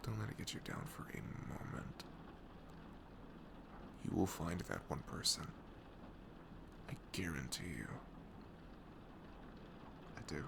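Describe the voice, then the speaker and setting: Man acting as caring young male best friend, low voice, expressive swings in pitch, soft and earnest, ASMR roleplay, noisy recording. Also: slow pace, pauses often, exaggerated voice. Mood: tender, soothing. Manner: soft-spoken, often breathy, hesitant, earnest. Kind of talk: ASMR roleplay acting, intimate casual talk.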